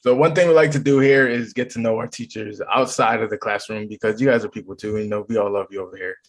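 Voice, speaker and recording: A man's voice: lowish voice, fairly even intonation, quick pace, rough recording. A man hosting an education podcast, asking his guest questions in a friendly, loose, rambling way. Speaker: podcast host. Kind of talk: conversational podcast interview.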